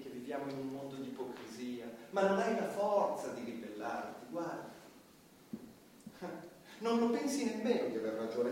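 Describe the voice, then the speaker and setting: An actor playing a defiant, impassioned satirical comedian on trial, emphatic and repetitive in a theatrical stage style. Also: male voice, mid-range voice, darkish tone, natural voice. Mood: defiant, challenging. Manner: emphatic, repetitive, preaching against hypocrisy and power. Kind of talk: theatrical stage acting, fiery monologue. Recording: echoey distant stage recording, faint noise.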